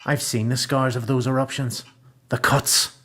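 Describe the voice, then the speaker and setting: A world-weary prisoner in a radio play acted by a man. He speaks in a sombre, measured way, at a middling pitch. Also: fairly even intonation, a bit bright. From Belfast, Northern Ireland.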